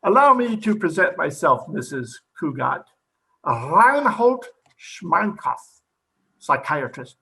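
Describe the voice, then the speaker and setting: A man playing a gravelly, theatrical psychiatrist. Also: on the high side, big pitch swings, slow, pushed and bright, a little hiss or hum, exaggerated voice. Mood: grand. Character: theatrical, self-important male psychiatrist. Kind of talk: old-time radio comedy acting.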